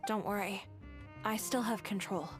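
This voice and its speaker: Young female game character, acted by a woman: mid-pitched, earnest, soft-spoken, faint music underneath. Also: moderate pace, lightly acted. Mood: calm, reassuring. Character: earnest young woman clinging to a comforting dream. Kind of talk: video game character acting.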